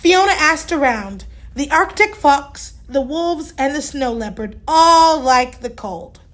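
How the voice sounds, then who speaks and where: A woman narrates a children's storybook. Her voice is high, and she reads expressively at a slow, careful pace. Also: lively intonation, fairly bright tone. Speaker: children's read-aloud storyteller.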